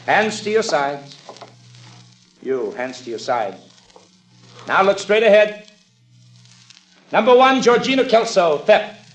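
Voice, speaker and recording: An actor playing a businesslike police sergeant in a 1950s radio drama, giving procedural instructions in an official, matter-of-fact way. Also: male voice, high-pitched, animated, takes it slowly, pauses often, faint music behind, full character voice. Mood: commanding. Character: brisk, businesslike male police sergeant running lineups. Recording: echoey vintage radio stage sound.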